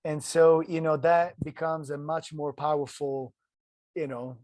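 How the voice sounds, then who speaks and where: A man from Italy with an Italian accent, telling his story as a podcast guest in an earnest, halting way full of restarts. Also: a little high, fairly even intonation, darkish tone, dry recording. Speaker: guest, ultrarunner. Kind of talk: casual interview storytelling.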